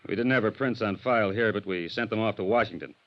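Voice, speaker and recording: An American man acting a tough, suspicious police sergeant in 1950s radio style, gruff, sardonic and pressing. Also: lowish voice, fast, lightly acted. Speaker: supporting actor in a radio serial. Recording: dull old radio recording.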